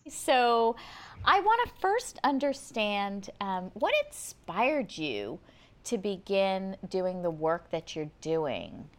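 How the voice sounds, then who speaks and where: A woman's voice: medium pitch, sing-song, slow, dry recording. A woman hosting a podcast, introducing it and interviewing.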